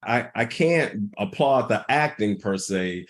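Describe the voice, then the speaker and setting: A man's voice: on the low side, animated, slow pace. A middle-aged man speaking as a podcast guest, earnest and heartfelt, sometimes repeating words as he builds a point. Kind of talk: reflective interview conversation.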